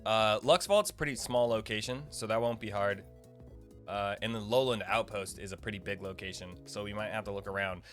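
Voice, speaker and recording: A man's voice: lowish voice, brisk, fairly bright tone. A young man giving casual, informal game guide commentary, rambling and thinking aloud as he plays. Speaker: gaming video creator. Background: faint game music.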